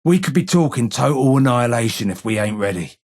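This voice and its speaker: An actor playing a blind, weary old London gang informer in a video game, with a London accent, a husky gravelly voice and measured, wry street talk. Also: male voice, mid-range voice, lively intonation, a little mellow. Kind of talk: video game character dialogue.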